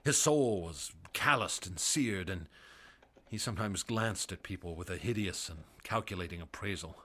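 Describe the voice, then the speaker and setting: An actor playing a haunted doctor who narrates gravely and grows excited in scenes. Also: male voice, low voice, normal speed, exaggerated voice. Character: frightened male doctor assistant and narrator. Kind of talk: radio drama narration.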